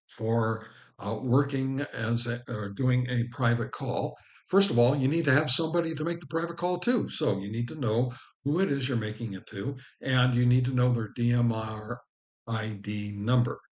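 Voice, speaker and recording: A man with a mid-range voice hosts a how-to tutorial. He explains each step informally and speaks straight to the viewer as he instructs. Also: mid-range voice. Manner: instructional, addresses the viewer directly. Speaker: host of a ham radio how-to channel.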